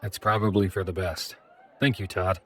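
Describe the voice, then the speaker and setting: A man playing a large mechanical adventurer, polite and calm, in an audio drama. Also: sits low, normal speed.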